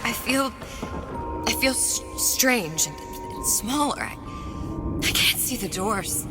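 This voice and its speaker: Distraught young woman, video game drama, high voice, halting, intense, loud music behind. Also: animated. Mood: bewildered, frightened. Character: distraught, determined young woman, haunted by guilt. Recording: loud music over the dialogue.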